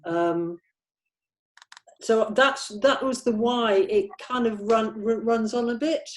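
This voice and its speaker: A woman talks casually about a script she has written. Her delivery is halting and hesitant, as she searches for the right words while explaining her choices. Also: a little high.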